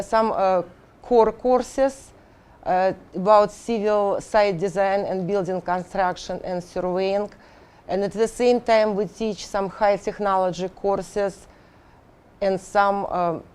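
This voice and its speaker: Slow, mellow-voiced Russian-accented woman. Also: mid-range voice, clear, resonant tone. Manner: halting, many fillers and restarts. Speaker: engineering instructor. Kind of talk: interview answers.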